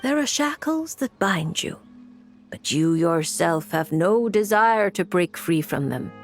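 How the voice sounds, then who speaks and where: A woman's voice: on the low side, expressive, measured pace, faint music behind. A woman voicing an aloof, weary witch fortune-teller in a video game, speaking in a dry, formal and cryptic way. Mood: mystical, musing.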